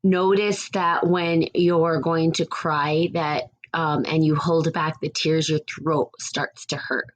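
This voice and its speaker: Woman podcast host, low raspy voice, meandering reflective delivery. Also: flat delivery, takes it slowly, few pauses, a little hiss or hum, poor audio. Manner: rambling and reflective, with frequent restarts. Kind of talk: casual spiritual podcast talk.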